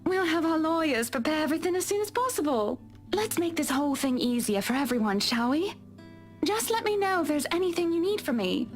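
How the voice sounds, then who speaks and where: A woman's voice: sits high, lively intonation, fairly quick, faint music behind. A woman playing a haughty, wealthy client in a visual novel game, speaking in a polished, imperious and breezy way. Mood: brisk, imperious.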